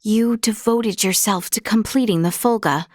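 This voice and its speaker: A young woman playing a courteous, earnest princess in a video game, speaking in formal, archaic courtly phrases with gracious, measured manner. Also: mid-range voice, darkish tone, no room sound, clean studio sound, natural voice. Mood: gracious, sincere. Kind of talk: scripted video game character dialogue.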